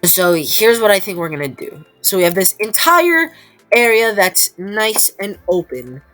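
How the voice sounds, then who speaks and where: A woman's voice: mid-range voice, sing-song, a little slow, a bit bright. A woman with a stuffy, slightly nasal voice gives hesitant, casual commentary over her gameplay, with many ums. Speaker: gameplay video creator. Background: faint background music.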